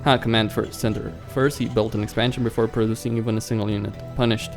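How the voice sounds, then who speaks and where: A man's voice: fairly low pitch, flat delivery, fast talker. A man hosting a let's play, reading a visual novel script aloud and stumbling over some words. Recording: music behind the voice.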